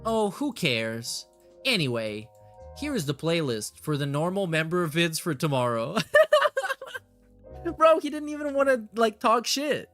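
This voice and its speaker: A man's voice: a little high, sing-song, measured pace, a bit bright, soft background music. A man hosting a reaction show in a casual, blunt, sardonic way, reading messages aloud and swearing as he comments.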